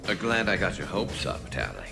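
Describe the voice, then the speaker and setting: An actor playing a dry-witted, seasoned alien sharpshooter in a video game, gravelly and wry. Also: male voice, low voice, big pitch swings, moderate pace, music in the background, exaggerated voice. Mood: wry, amused.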